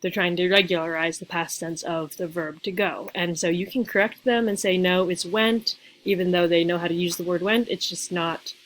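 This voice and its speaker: Young woman teaching grammar on a webcam at home, chatty, lots of ums, kinda low voice, jokey asides. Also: steady tone, moderate pace, few pauses, rough recording. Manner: chatty, filler-heavy, playful asides. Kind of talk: casual slide lecture.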